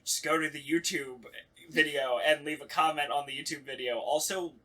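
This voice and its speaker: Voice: medium pitch, moderate pace, sharp tone, poor audio. A podcast co-host chatting casually, with a joking, mock-serious way of talking.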